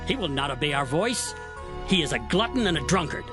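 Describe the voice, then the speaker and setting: A man's voice: on the high side, very animated, a little fast. A man voicing a stern, aggrieved father in a dramatized scripture reading, declamatory and accusing. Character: stern aggrieved father denouncing his rebellious son. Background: music under the voice.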